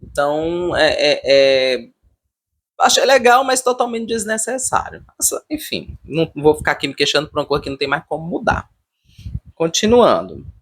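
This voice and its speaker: Man, Brazilian accent, slightly high voice, husky edge, casual reviewer, halting then emphatic. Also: big pitch swings, fairly bright tone. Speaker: video reviewer and host. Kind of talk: casual, unscripted review commentary.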